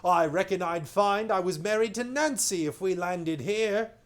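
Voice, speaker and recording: A weary sailor, rough and wistful, speaks up a little higher and louder than the reader's own voice, as a man reads him out. The acting is plain, in a storybook reading. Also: unhurried. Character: weary, hopeful grown male sailor.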